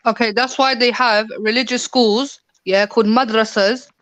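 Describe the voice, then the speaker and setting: A woman's voice: on the high side, fairly even intonation, normal speed, fairly bright tone. A woman debating heatedly on a live online call, insistent and talking over others. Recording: muffled dry call audio.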